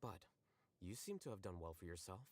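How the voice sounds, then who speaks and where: A man voicing an aloof young male scholar in a video game, blunt and deadpan, with precise, dryly formal delivery. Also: deep voice, a little fast, dry recording. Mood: dry, matter-of-fact. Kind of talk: scripted video game voice lines.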